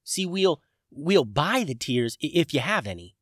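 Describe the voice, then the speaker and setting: Flustered friendly male dragon voiced by a man, stammering, a touch higher, storybook. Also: sing-song, measured pace, fairly bright tone, dry recording, clean studio sound, exaggerated voice.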